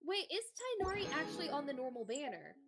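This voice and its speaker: A young woman chatting casually while gaming with a friend, excitable and teasing. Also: high voice, quick pace, crowd noise. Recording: music behind the voice. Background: music.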